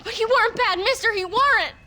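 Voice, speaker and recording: A naive frontier girl with a very high, bright voice and a Southern American drawl. She rambles and repeats herself in a shaken, plaintive way, as in a dramatic game cutscene, over faint background noise. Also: fast talker. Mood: defensive, plaintive.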